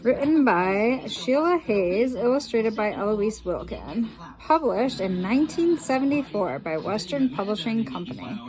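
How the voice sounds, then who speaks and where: Expressive woman reading a picture book. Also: on the high side, crowd noise, poor audio. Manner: slow, expressive storytelling. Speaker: children's book reader.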